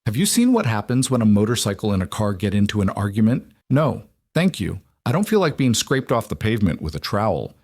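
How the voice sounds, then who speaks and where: A man's voice: lowish voice, big pitch swings, fairly quick, hardly any pauses, darkish tone, dry recording, clean studio sound. A man voicing a goofy, chatty male office neighbor who rambles and cracks jokes. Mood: jokey.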